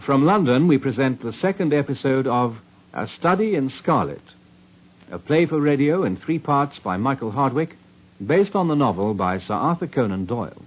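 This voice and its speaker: A man making formal announcements for a radio drama. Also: medium pitch. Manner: measured, formal. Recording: lo-fi old radio recording.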